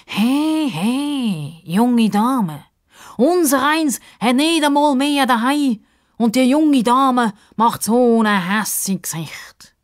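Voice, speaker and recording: A woman voicing a grumbling, dramatic male talking crocodile in a children's story, with drawn-out, sighing delivery. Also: on the high side, lively intonation, dry recording, clean studio sound, pitched above the performer's own voice. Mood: cajoling. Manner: theatrical, drawn-out, sighing complaints.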